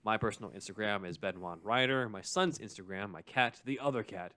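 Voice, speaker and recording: A man's voice: fairly low pitch, fairly quick, bright, forceful tone, no echo. A man co-hosting a podcast, reading out plugs in a casual, jokey way. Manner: jokey, bantering. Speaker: podcast co-host.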